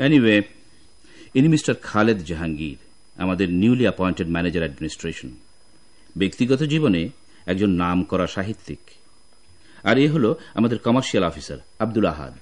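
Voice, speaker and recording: A man's voice: on the low side, animated, normal speed, pauses often. A man with a Bangladeshi accent that mixes in English, playing a genial, courteous company boss in a radio drama. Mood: gracious. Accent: Bangladeshi, mixing in English. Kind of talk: Bengali radio drama acting.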